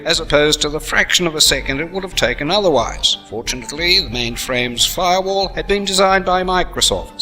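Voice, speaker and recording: Bright, forceful man narrating comedy. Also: fairly high pitch, poor audio. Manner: chatty asides to the listener. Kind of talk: comic scripted narration.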